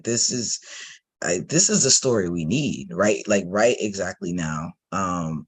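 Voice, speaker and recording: Male panelist, fairly low and raspy, casual conversational delivery.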